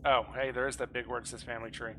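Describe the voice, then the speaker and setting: A man's voice: medium pitch, flat delivery, very fast, bright, forceful tone, slight background noise. A man chatting casually with friends while gaming online, joking and swearing freely in a salty way. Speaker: gamer playing online with guildmates.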